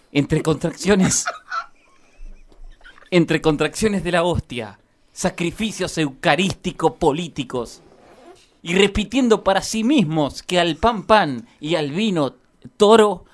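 A man with an Argentine accent reading scripted satirical radio narration in a declamatory, mock-solemn manner. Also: a little high.

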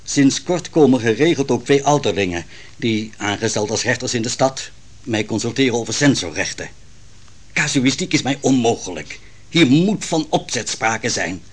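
A man's voice: medium pitch, expressive, normal speed, close to an everyday voice. A man acting a proud, troubled royal counsellor defending his wife in a Dutch radio play, formal and theatrical. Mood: proud, agitated.

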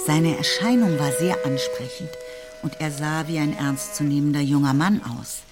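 A woman's voice: deep voice, normal speed. A woman giving scripted literary narration for a radio play. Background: music.

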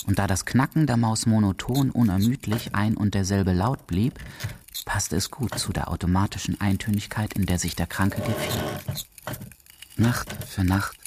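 A man narrates literary prose for a radio drama in a measured, deliberate, emphatic way. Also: fairly low pitch, a little mellow. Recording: clearly noisy recording with clicks.

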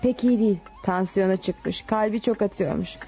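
A woman's voice: medium pitch, moderate pace, mellow, soft background music, natural voice. An actress playing a weary, resentful middle-aged wife and mother in radio theatre. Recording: dull lo-fi radio recording.

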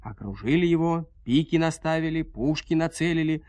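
A man with a fairly high-pitched voice narrates a fairy tale. His delivery is dramatic and folksy, shaped as expressive storytelling. The recording is close and very dry. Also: normal speed, no room sound.

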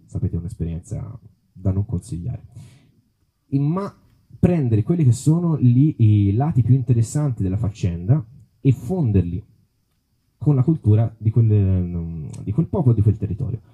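Mellow, muffled man explaining. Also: on the low side, sing-song, normal speed, slightly rough. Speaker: author presenting his book on rock music. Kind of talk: informal public talk with musical examples.

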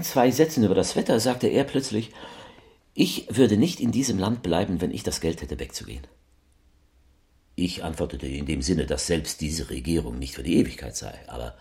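An actor playing a grave, solemnly persuasive politician who speaks in deliberate, declamatory phrases in a radio collage. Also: male voice, low-pitched, a little mellow. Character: male politician orator, grave, self-justifying, solemnly persuasive.

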